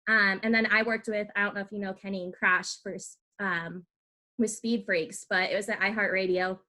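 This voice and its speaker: Female podcast host, bright tone, chatty and rambling. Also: mid-range voice, steady tone, moderate pace. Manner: chatty, with fillers and rambling questions. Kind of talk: casual podcast interview.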